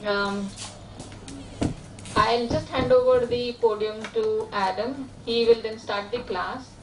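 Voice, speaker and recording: A woman's voice: on the high side, far from the mic, a little hiss or hum. Woman making announcements in echoey hall. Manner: brisk, joking, hesitant restarts. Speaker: course organiser. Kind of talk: classroom announcements. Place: lecture hall.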